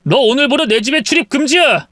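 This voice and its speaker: A woman's voice: high-pitched, lively intonation, quick pace, a bit bright, no echo, pitched above the performer's own voice. A woman voicing an angry cheating husband. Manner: barking, contemptuous.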